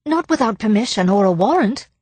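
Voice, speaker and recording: A woman's voice: medium pitch, lively intonation, brisk, darkish tone, slightly rough, dry recording, pitched above the performer's own voice. A woman voicing a spirited, forthright young Englishwoman in an audiobook, emphatic, well-bred and reassuring. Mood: brisk, confident.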